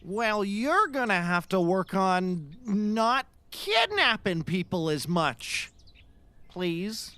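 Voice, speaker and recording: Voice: medium pitch, sing-song, slow pace, pushed and bright, no echo, exaggerated voice. A performer playing a whiny, meek, put-upon man, a prissy former agent in a scripted comedy. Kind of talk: scripted audio comedy acting.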